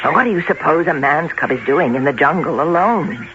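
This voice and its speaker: A woman playing a motherly, fiercely protective wolf in an old-time radio drama, with expressive, emphatic delivery. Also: low-pitched, brisk. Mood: tender delight. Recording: muffled, noisy vintage radio recording.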